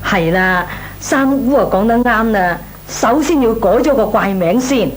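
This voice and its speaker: An actress playing a well-meaning, exasperated middle-aged aunt in a Cantonese radio comedy, sighing and coaxing, with a Hong Kong Cantonese accent. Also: female voice, on the high side, animated, a little slow. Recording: noisy old radio recording.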